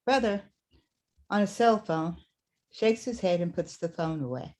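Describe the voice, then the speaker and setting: A woman narrator with a fairly low voice reads out stage directions. It is scripted narration for a staged drama reading. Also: a little slow, a little mellow.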